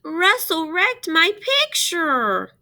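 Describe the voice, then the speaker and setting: An indignant young schoolgirl from a storybook, acted out by a woman reader. Her voice is high and bright, and she whines and exclaims her hurt loudly, with some creak underneath. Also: wildly swooping pitch, extremely slow, heavily acted. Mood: upset, whining. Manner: whining, exclaiming.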